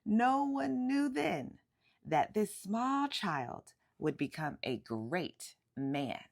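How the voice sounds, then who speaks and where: Female storyteller for a children's picture book, lively and emphatic, with some vocal fry. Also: on the low side. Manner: animated, emphatic storytelling with drawn-out words. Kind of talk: expressive read-aloud of a children's picture book.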